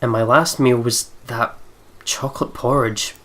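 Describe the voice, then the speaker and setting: A man's voice: mid-range voice, fairly even intonation, a little slow, a bit bright. A man reading a visual novel aloud as a let's player, with sweary, joking asides. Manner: sweary, jokey.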